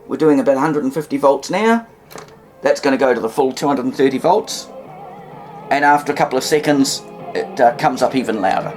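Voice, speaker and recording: A man with a New Zealand accent talks through a demonstration off the cuff, at a middling pitch. He is folksy and a little wry, often hesitating as he goes. It sounds like a home video picked up by the camera nearby. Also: a little fast, over music. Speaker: hobbyist presenter demonstrating vintage radios. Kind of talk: casual unscripted demonstration. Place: indoors in a cluttered home room.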